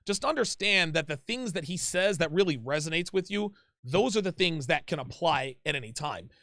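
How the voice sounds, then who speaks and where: A man's voice: a little high, expressive, normal speed, pushed and bright, no room sound, clean studio sound. A man hosting a live call-in advice show, talking casually, repeating himself and calling people "dude".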